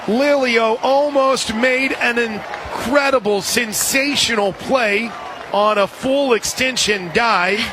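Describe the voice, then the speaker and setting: A man doing live radio play-by-play of softball, excitable, in short punchy calls from an outdoor stadium. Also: extremely high pitch. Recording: live outdoor stadium broadcast, crowd noise. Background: loud crowd and ballpark ambience.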